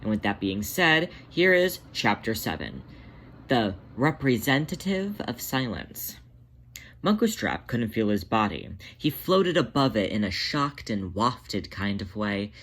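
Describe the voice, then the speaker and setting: A man's voice: lowish voice, big pitch swings, measured pace, fairly bright tone. A man hosting a fan fiction reading, narrating a scripted story aloud. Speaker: fan fiction author and podfic reader, host.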